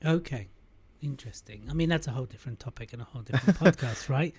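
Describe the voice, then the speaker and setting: A man's voice: medium pitch, quick pace, darkish tone, husky. A man hosting a podcast interview, asking probing questions and summarizing what his guest says. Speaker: podcast host.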